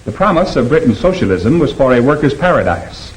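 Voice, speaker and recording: A man reads 1950s documentary narration at a middle pitch. His delivery is steady and grave, and he explains each point plainly. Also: brisk, poor audio.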